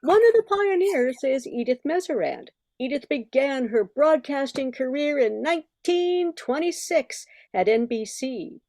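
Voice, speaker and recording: A woman's voice: on the high side, dark tone, dry recording. An older woman reading scripted narration as the announcer of a radio show.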